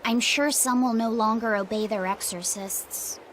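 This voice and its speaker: A high-voiced, earnest young boy. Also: fairly even intonation, unhurried, a bit bright, slight background noise. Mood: earnest, concerned. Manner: earnest, questioning. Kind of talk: fantasy game acting.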